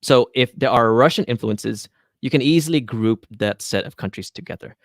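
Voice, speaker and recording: A man's voice: medium pitch, moderate pace, no room sound, studio quality. A man from Malaysia, a guest on a podcast, who answers thoughtfully with frequent ums and restarts. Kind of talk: casual interview chat.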